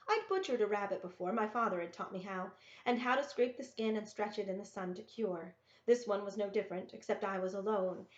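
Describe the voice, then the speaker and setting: Woman doing expressive storybook read aloud, echoey room, kinda muffled recording. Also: medium pitch. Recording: roomy, echoey, dull lo-fi sound.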